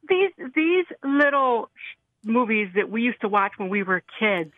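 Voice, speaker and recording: A woman's voice: fairly high pitch, sing-song, unhurried, no echo. A woman calling in to a radio show over the phone, giving her opinion casually. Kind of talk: casual, opinionated call-in talk. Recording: tinny narrow phone line.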